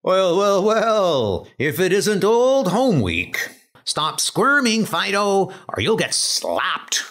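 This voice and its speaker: Man voicing gruff, callous mutant rat crooks. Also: sits high, big pitch swings, slow, a bit bright, pitched above the performer's own voice, exaggerated voice. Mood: jeering. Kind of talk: comic dub character acting. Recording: music under the voice.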